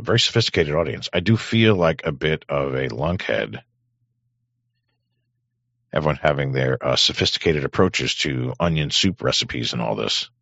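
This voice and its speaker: A man with an extremely deep voice full of gravelly fry, reacting to chat in a wry, sardonic mutter. The recording is close and dry, with a slightly dull, muffled tone. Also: a bit bright, dry recording. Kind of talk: casual live-stream commentary.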